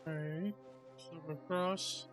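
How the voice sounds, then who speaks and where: A man's voice: a little high, fairly even intonation, takes it slowly. A man narrating his own video game playthrough in casual commentary, thinking aloud in a laid-back way. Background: game music.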